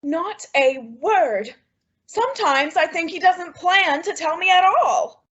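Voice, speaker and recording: A woman playing a flustered, secretive and affectionate housewife in an old-time radio sitcom, with animated, exclamatory delivery. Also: extremely high pitch, slow, dull audio, full character voice. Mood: coy, cheerful. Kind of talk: 1940s radio sitcom acting.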